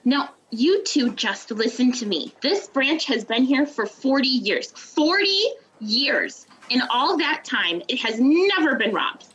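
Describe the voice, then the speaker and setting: A woman's voice: sits high, very animated, slow, fairly bright tone, highs cut off, slight background noise, exaggerated voice. A woman playing an indignant, self-important bank official, emphatic and haughty, in an audio drama. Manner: haughty, emphatic, repeating words.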